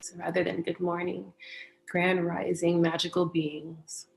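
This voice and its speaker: A woman from California with an American accent, talking gently and unhurriedly as she hosts a guided meditation session and speaks in spiritual phrases. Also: lowish voice, level tone, unhurried, gravelly, low-quality recording. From California, United States. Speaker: meditation teacher and session host. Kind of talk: welcoming introduction to a guided meditation, personal talk.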